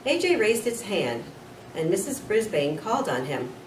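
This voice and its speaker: A woman reads a children's story aloud with a lively, varied pitch. She is expressive and a little informal. The recording is roomy, slightly off the mic. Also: moderate pace. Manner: expressive, with small slips and corrections. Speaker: school nurse reading to children. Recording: roomy, distant mic with faint noise.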